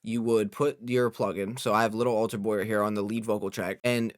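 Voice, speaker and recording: A young man hosting a casual software tutorial, explaining informally step by step as an audio engineer. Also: on the low side, level tone, brisk, clean tone, no room sound, studio quality.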